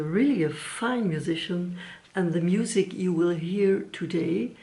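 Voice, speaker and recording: A Dutch-speaking woman hosting her own crafts channel, greeting viewers in English in a friendly, halting way with fillers. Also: on the low side, dark tone. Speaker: YouTube crafts channel host. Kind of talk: casual vlog introduction.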